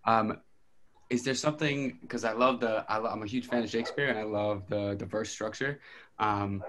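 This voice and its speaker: A man's voice: lowish voice, steady tone, moderate pace, a bit bright. A man casually asking questions in a discussion, rambling as he searches for the right phrasing. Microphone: video call. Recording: clearly noisy video-call audio.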